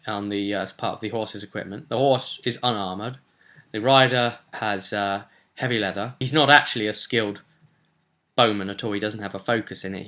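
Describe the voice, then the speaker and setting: A man's voice: lowish voice, bright, forceful tone. A man recapping a tabletop roleplay session as game master, telling it in a rambling, casual way with bits of acted dialogue.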